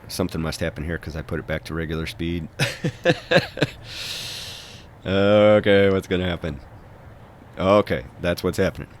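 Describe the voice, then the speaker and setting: A man's voice: low-pitched, a little mellow, a little hiss or hum. A man with a head cold, stuffed up, chatting casually and rambling a bit as he narrates a recap of his own bike race. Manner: chatty, rambling, self-deprecating.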